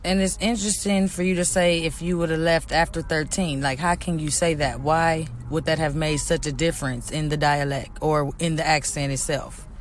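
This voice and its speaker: A woman hosting a podcast, candid and laid-back, laughing softly. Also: deep voice, fairly even intonation, moderate pace, hardly any pauses, slight background noise. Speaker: podcast host. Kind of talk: casual chat.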